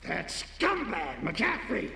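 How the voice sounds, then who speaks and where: An actor playing a sleazy, jittery, cynical crooked cop in a video game, theatrical and scheming, with a gravelly voice, in an echoey room. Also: male voice, high voice, wildly swooping pitch, slow, bright, forceful tone, distant mic, exaggerated voice. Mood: bitter, menacing. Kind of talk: video game cutscene acting.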